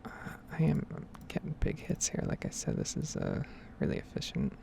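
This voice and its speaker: Whispering, creaky-voiced woman rambling softly. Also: extremely low pitch, wildly swooping pitch. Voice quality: breathy whisper with creaky edges. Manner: rambling, hesitant, unhurried improvised talk. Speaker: gaming ASMR creator. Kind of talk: whispered, rambling ASMR game commentary.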